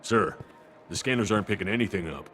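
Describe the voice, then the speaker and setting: An actor playing a dutiful security guard in a video game, formal and deferential. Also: male voice, lowish voice, lively intonation, fast, fairly bright tone. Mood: dutiful, wary. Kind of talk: video game character acting.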